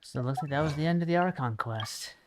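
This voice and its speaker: A man's voice: fairly high pitch, fast, background noise. A man giving casual, musing commentary on a gaming livestream, often trailing off mid-thought. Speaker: livestreamer playing a video game. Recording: game music behind the voice.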